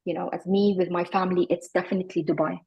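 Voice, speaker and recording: Woman in her 40s, husky lowish voice, reflective interview guest, lots of fillers. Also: flat delivery, quick pace, a little mellow, poor audio. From Iraq. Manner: thoughtful, many fillers and restarts.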